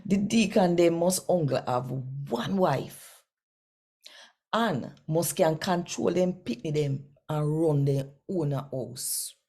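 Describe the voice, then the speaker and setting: Slow, husky Jamaican woman. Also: low voice. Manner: warm, folksy, conversational Creole phrasing. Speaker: scripture reader. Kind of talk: daily scripture reading and casual address.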